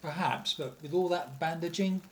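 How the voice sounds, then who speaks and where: An actor playing a worried, reluctant scientist's assistant in a radio play, hesitant but dutiful. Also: male voice, on the high side, normal speed, a little hiss or hum, natural voice. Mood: doubtful, weary. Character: anxious, reluctant male lab assistant to a scientist.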